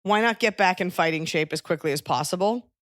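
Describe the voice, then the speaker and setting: Lower-voiced woman, wry podcast chat. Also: a little fast, fairly bright tone, no echo, studio quality. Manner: wry, self-mocking. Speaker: podcast host. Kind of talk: casual comedic podcast chat.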